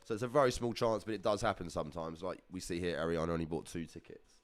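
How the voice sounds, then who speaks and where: A man's voice: low-pitched, steady tone, fast talker. A man hosting a live prize draw in upbeat, chatty, slangy casual talk. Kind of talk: casual live-stream chat.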